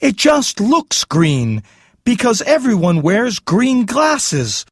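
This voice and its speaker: A man voicing an earnest, insistent living scarecrow in a storybook, with drawn-out, swooping emphasis. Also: high-pitched, very animated, slightly rough, no echo, studio quality, pitched above the performer's own voice, exaggerated voice.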